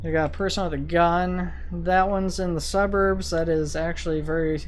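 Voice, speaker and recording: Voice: mid-range voice, steady tone, normal speed. Rambling gamer over sirens and engine noise. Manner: rambling, thinking aloud. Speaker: video game streamer and host. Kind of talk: casual gameplay commentary. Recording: vehicle and siren noise behind voice. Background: game sirens and vehicle sounds.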